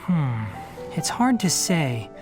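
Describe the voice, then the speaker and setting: A man playing a thoughtful, calm young male investigator in an anime game, measured and pondering. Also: fairly high pitch. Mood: analytical. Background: game music.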